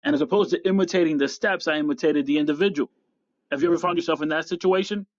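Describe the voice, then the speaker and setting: A middle-aged American man hosting a motivational podcast, speaking in deliberate, emphatic phrases with repetition. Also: a little high, expressive, no echo. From upstate New York, United States. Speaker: podcast host. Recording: slightly dull, dry lo-fi audio.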